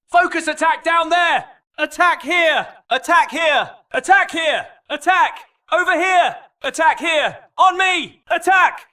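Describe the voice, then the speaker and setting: The teammate yelling clipped callouts from a little way off in a team shooter match, defiant and very high-voiced. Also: male voice, far from the mic, heavily acted. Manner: emphatic, short clipped shouted callouts. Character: defiant, mission-driven arena game show contestant. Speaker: video game character voice actor. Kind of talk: game callout barks.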